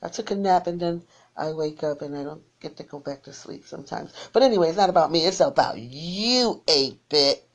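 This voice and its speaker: A tired woman who is losing her voice, rambling through friendly shout-outs to listeners on her small internet radio station. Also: low-pitched, expressive, a little fast. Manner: rambling and warm, repeats her sign-off.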